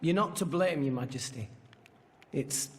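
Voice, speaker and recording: A man's voice: on the high side, unhurried, close to an everyday voice. A man playing a downcast teenage boy hero in a game cutscene, hesitant and earnest. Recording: long reverb, music behind.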